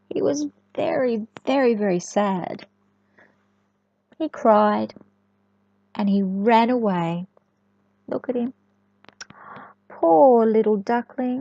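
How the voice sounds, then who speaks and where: A woman reading a storybook to young children in an expressive, sing-song way, often chatting and asking the listener questions. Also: fairly high pitch, creaky. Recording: echoey room, dull lo-fi sound.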